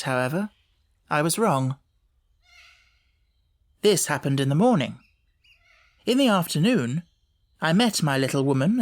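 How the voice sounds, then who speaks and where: A man reading literary first-person narration in a measured, formal storytelling manner, as an audiobook narrator. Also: fairly high pitch.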